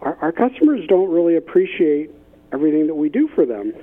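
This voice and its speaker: A man's voice: a little high, very animated, fairly quick. Man on a thin phone line. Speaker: expert guest, business innovation consultant. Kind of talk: interview conversation with anecdotes.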